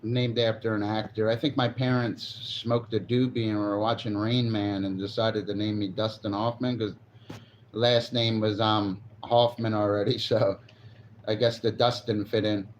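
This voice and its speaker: A man's voice: fairly low pitch, level tone, moderate pace, slight background noise. A forty-year-old man livestreaming, chatting casually and rambling, swearing now and then. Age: 40s. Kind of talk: casual live stream chat. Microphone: phone on a selfie stick.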